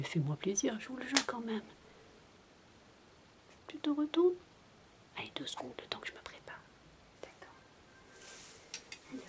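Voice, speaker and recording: A woman in a soft-spoken, whispered ASMR roleplay, coaxing like a babysitter talking to a sulking child. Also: a little high.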